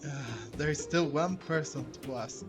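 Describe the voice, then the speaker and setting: Man doing casual gameplay commentary, loud music. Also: on the high side, moderate pace. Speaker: gaming video host. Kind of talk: casual game playthrough commentary. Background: loud game music.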